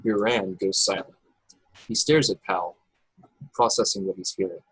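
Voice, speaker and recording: A man narrates the stage directions of a script at a live table read. His voice is fairly low and husky. The sound is close and dry, with no room echo. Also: fairly even intonation, normal speed. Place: remote video call, dry sound.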